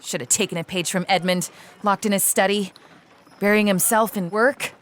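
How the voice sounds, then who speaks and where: An actress playing an irritated, menacing, sharp-tongued museum worker in an audio drama, with a scornful manner. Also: female voice, medium pitch, fairly even intonation, normal speed, a little hiss or hum, natural voice. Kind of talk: audio drama character acting.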